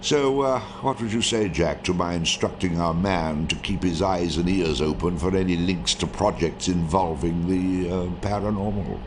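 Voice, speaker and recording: An actor playing a senior wartime intelligence chief, authoritative and deliberate, leading a briefing in a video game. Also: male voice, low-pitched, background noise, natural voice.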